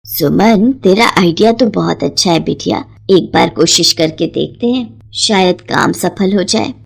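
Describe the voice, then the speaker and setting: A woman voicing a worried, tender, humble village mother in a gentle storybook cartoon manner. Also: fairly low pitch, animated, unhurried, creaky, breathy edge, heavily acted. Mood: humble, hopeful.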